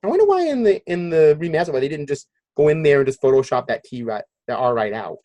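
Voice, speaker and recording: Higher-voiced man hosting a casual podcast. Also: sing-song, fast, warm tone, no room sound, dull audio. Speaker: podcast host.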